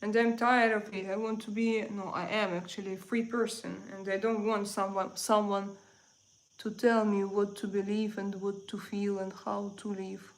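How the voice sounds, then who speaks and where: A woman from Russia with a Russian accent, chatting casually as a livestream host and answering viewers in halting English with repeated phrases. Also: medium pitch, flat delivery, rough recording.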